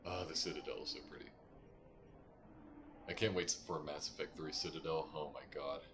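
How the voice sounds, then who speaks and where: Very deep-voiced man live-streaming a game. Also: steady tone, normal speed, background noise. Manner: chatty, thinking out loud, greeting chat viewers. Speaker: game streamer and voice actor with an ASMR roleplay channel. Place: indoors, live gaming stream. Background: game music.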